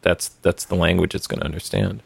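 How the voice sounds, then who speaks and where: A man's voice: low-pitched, little pitch movement, a little fast, creaky, breathy edge, no echo. A man on a podcast panel who thinks aloud in an easygoing way about tech features. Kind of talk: casual tech chat.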